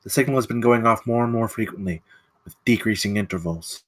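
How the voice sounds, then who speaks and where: A man reading the part of a secretive government recruiter at a screenplay table read, measured and persuasive. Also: fairly low pitch, fairly bright tone, dry recording, close to an everyday voice. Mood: urgent, grave.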